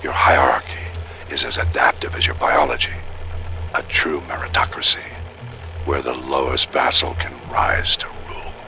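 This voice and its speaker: A cold, obsessive male villain in a video game. His deep, creaky voice is grandiose and menacing, every phrase set down deliberately. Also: flat delivery, bright, forceful tone, slight background noise, exaggerated voice. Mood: fascinated. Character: cold, megalomaniac older male villain obsessed with alien creatures.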